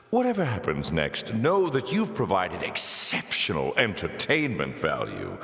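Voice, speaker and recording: Old fallen angel, sardonic game villain, wildly swooping pitch, unhurried, mock-polite, cavernous reverb. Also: male voice, a bit bright, heavily acted. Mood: mocking, theatrical. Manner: sardonic, mock-polite, savouring each phrase. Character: sardonic, theatrical old male fallen angel, darkly amused taskmaster. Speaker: mission announcer in a video game. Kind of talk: theatrical video game villain voice lines. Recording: long echoing reverb.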